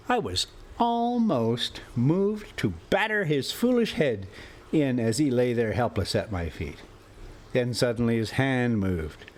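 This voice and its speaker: Male narrator, unhurried delivery, mid-pitched voice, theatrical phrasing, dramatic storytelling. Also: slight background noise. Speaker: host reading a classic story aloud while driving. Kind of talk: dramatic audiobook-style narration of an adventure story. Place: inside a moving motorhome.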